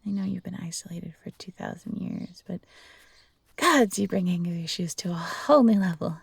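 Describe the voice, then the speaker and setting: Woman acting an earnest fox-hybrid fugitive, husky and creaky. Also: fairly low pitch, moderate pace, no echo, heavily acted. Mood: gentle, hesitant. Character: earnest young fox-hybrid fugitive, gentle but defiant companion. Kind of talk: audio roleplay acting.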